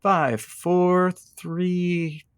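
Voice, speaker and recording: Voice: medium pitch, steady tone, extremely slow, clean tone. A performer playing a nervous, placating radio show sidekick, hesitant and stammering. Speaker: co-host. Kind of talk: improvised comedic radio drama banter.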